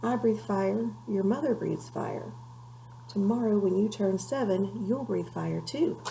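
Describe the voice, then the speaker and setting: Woman as a stern father dragon, creaky. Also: medium pitch, a little slow, mellow, a little hiss or hum, poor audio. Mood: proud. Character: stern, insistent father dragon, proud and demanding.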